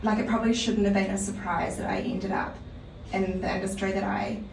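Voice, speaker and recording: A woman in her thirties with a New Zealand accent, a podcast guest talking about her life in a dry, matter-of-fact way with many pauses. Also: medium pitch, little pitch movement, reverberant, far from the mic, a little hiss or hum, rough recording. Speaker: guest, design week founder. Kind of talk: casual interview.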